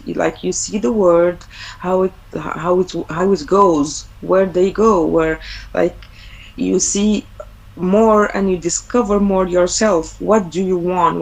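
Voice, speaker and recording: A woman's voice: on the low side, gravelly, low-quality recording. A young Algerian woman, a native Arabic speaker, speaking English as a webinar guest over a video call, hesitant, with many fillers and repeated words. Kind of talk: personal talk on studying abroad.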